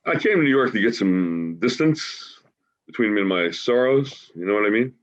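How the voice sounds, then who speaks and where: A man in his forties acting a grieving, wry homeless man in a staged play reading, halting and emotional in a conversational way. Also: fairly low pitch, lively intonation, lightly acted. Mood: reflective, tender. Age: 40s. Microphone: video call. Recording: dull, lo-fi video call audio.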